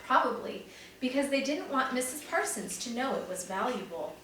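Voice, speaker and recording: A friendly young woman who explains things plainly, as a woman teacher reads her lines. Her voice is a touch high, and it echoes through a very echoey room from a distant mic. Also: big pitch swings, moderate pace, a bit bright, a little hiss or hum. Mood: explaining.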